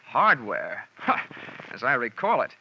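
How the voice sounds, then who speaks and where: An actor playing a wisecracking freelance insurance investigator in a 1940s radio drama, talking in dry, sarcastic quips. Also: male voice, medium pitch, huge pitch swings, slow pace, creaky, a little hiss or hum, full character voice. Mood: sardonic. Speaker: lead character and narrator. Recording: muffled old radio recording with music.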